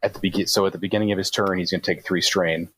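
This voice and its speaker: A man's voice: low-pitched, steady tone, racing, a bit bright, husky. A man playing a tabletop roleplaying game, casually describing moves and explaining rules step by step.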